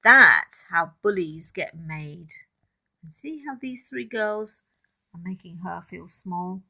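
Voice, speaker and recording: A woman reading a children's picture book aloud in expressive narration, with asides to young listeners about the pictures. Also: lowish voice, takes it slowly, creaky. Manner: addresses young listeners, points out pictures, asks questions.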